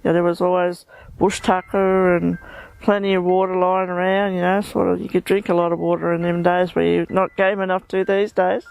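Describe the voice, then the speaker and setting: A woman's voice: on the low side, normal speed, creaky, low-quality recording. An older woman with an Australian accent, reminiscing in a folksy way about a childhood in the bush. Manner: flat, folksy storytelling. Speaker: interviewee, local resident. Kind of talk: casual reminiscing.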